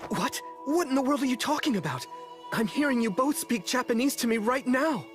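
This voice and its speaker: A man's voice: extremely high pitch, expressive, fairly quick, faint music behind. A man voicing a polite, composed teenage boy official in an English anime dub, with formal, earnest speech. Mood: bewildered, urgent.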